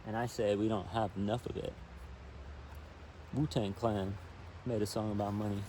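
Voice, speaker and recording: A man's voice: on the low side, normal speed. A man reading a story aloud informally and chattily, with asides of his own, recorded outdoors. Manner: chatty, easily sidetracked, commenting as he reads. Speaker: storybook reader. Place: outdoors by a river. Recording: distant outdoor mic with steady background noise.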